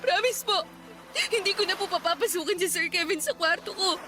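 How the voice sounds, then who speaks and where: A woman's voice: very high-pitched, fairly quick, noisy background. A woman playing a humble, tearful young woman pleading with deference in a Filipino radio drama. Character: humble, pleading poor young woman hiding her love. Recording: music bed under dialogue.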